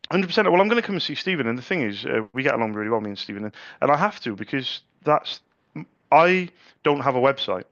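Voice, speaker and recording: A middle-aged man chatting on a sales call at an ordinary mid pitch. He talks freely, doubling words and restarting his sentences. The recording sounds dull and lo-fi. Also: sing-song, fast talker, fairly bright tone, no echo. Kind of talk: casual sales phone call.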